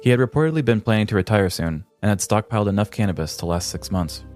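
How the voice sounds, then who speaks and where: Male narrator for comic true-crime storytelling: low-pitched, scripted, dramatic, with faint music underneath. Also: expressive, fast, darkish tone. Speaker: podcast host.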